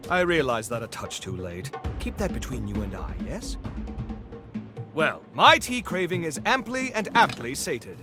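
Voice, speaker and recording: A pompous, affable gentleman from a video game, eccentric and grand. His highish voice swoops dramatically through florid phrases. Music plays clearly behind him. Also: big pitch swings, a little slow. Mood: jaunty. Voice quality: high-pitched, swooping delivery. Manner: pompous, florid, exclamatory and theatrical. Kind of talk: theatrical video game character acting. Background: clear background music.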